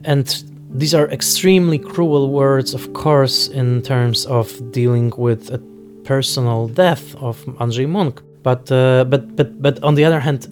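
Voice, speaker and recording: A man giving reflective interview commentary on film, thoughtful and halting as he searches for words. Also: mid-range voice.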